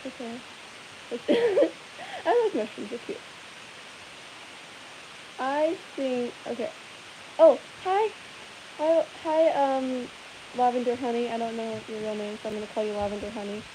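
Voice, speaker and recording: A woman's voice: fairly high pitch, unhurried, pauses often, warm tone, rough recording. A young woman hosting a casual live Q&A, rambling and giggling as she chats with viewers. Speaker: livestream host answering viewer questions. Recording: hissy background noise.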